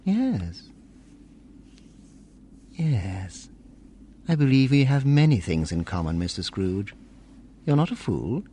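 The voice is animated and medium in pitch, a young businessman. He is formal, ambitious and insinuating, pressing his case in a radio drama. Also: slow, pauses often, a little hiss or hum. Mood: calculating, persuasive. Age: young adult. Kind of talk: family radio drama acting.

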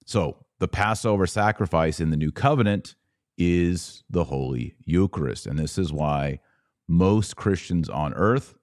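A man's voice: deep voice, a little slow, a little mellow, no room sound, studio quality. An American man hosting a podcast, giving informal, opinionated religious commentary in an emphatic, conversational way with rhetorical asides.

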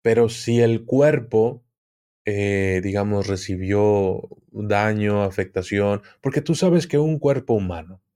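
A man with a Mexican accent speaking as an interview guest, explaining things conversationally and haltingly, often repeating words. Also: lowish voice, warm tone. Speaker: podcast guest, occultism expert.

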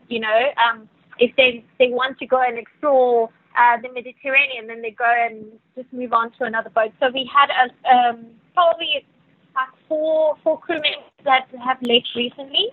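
A woman's voice: a little high, dry recording. A woman from Venezuela whose first language is Spanish, speaking English with a South African accent, answering interview questions with many hesitations. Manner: hesitant, many fillers and restarts. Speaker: yacht crew member, guest. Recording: tinny phone line, dry.

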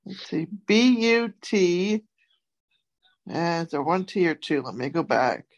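A woman hosts a relaxed word quiz with a fairly low voice. She takes her time, spelling letter by letter and chatting casually between words. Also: measured pace. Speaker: quiz host giving obscure words. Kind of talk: casual word game chat.

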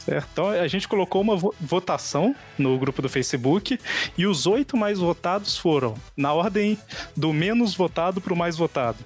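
Man, brazilian accent, podcast host, chatty, laughing, somewhat high. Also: lively intonation, moderate pace, soft background music. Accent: Brazilian Portuguese. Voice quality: chatty and laughing, lots of fillers. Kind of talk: casual podcast chat.

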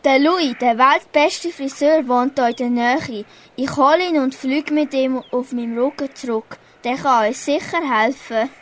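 A woman's voice: sits high, measured pace. A schoolgirl with a Swiss German accent playing confident, helpful animal characters in a children's radio play. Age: child or young teen pupil. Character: confident, helpful monkey hairdresser and animal friends.

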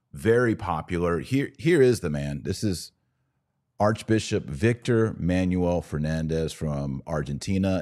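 Casting: American male podcast host with a very low voice, doing conversational religious commentary with a dry, sardonic streak. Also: a little mellow.

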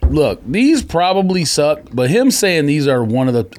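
Slangy, bantering man with lively pitch, under the weather. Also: moderate pace, a little hiss or hum. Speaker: sneaker podcast co-host. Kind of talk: casual chat.

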